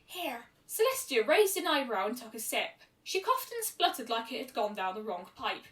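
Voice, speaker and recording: A woman's voice: on the high side, very animated, normal speed, a bit bright. A woman narrating a scripted fan fiction story as an amateur reader. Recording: roomy echo, distant microphone.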